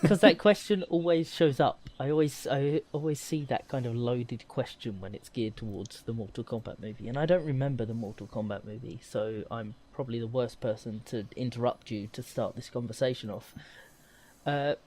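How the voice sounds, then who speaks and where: A man's voice: mid-range voice, moderate pace, a little mellow. A man co-hosting a casual gaming chat, talking in a rambling, emphatic way and often correcting himself. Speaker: podcast co-host. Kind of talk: casual chat about video games.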